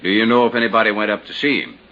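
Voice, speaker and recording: A man's voice: lowish voice, very fast, sharp tone. An actor playing a laconic male small-town sheriff in an old radio drama. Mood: official. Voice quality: laconic, clipped. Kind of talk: 1950s radio drama acting. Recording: dull old radio recording, faint hiss.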